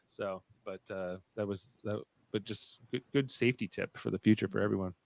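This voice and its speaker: A man's voice: lowish voice, fairly even intonation, gravelly, darkish tone. A man hosting a podcast in casual unscripted chat, rambling and often interrupting himself. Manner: halting, rambling, self-interrupting. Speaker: podcast host.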